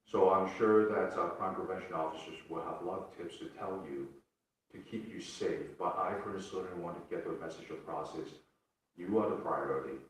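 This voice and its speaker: A low, deep male voice carries through a heavily reverberant room, picked up from a distance. He gives a public address as a police officer, earnest and somewhat halting, restating his main points. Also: slight background noise. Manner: hesitant, earnest, repeats key points. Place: public meeting room, away from mic. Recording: very echoey room, distant mic.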